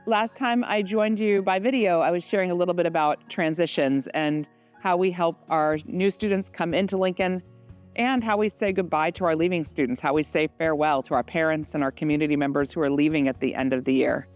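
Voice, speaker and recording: A woman hosting a school podcast, speaking conversationally and asking students open questions with gentle follow-ups. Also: sits low.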